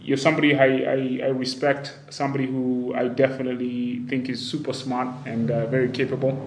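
A man's voice: mid-range voice, little pitch movement, fairly quick. A man hosting a casual podcast interview. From Kenya. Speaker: podcast host. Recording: echoey room with faint background noise.

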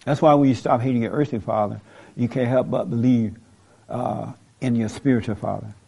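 A man's voice: mid-range voice, steady tone, fairly quick, creaky, warm tone, slightly rough. A man hosting a talk show, preaching in an emphatic, repetitive way with the odd joke and laugh. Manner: emphatic, repeats key lines, jokes and laughs. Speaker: host of a call-in talk show. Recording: dull lo-fi audio.

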